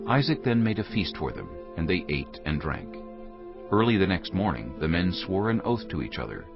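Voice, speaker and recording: A man's voice: low voice, creaky. A man narrating a dramatized scripture reading in a solemn storytelling style. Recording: dull recording over soft music.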